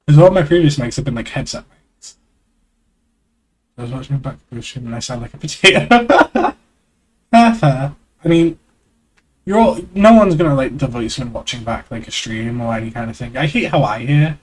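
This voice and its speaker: A man with a stuffy, congested nose chats on a live stream, rambling and putting himself down, with the odd curse thrown in. He talks into an external desk mic at a middling pitch. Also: animated, moderate pace. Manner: rambling, self-deprecating, swears casually. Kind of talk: casual live-stream reading of a webcomic aloud.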